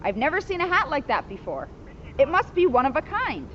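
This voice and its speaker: A woman's voice: high voice, sing-song, brisk, a bit bright. A woman voicing an earnest young storybook boy who is eager to please, recorded outdoors. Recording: muffled, noisy outdoor recording.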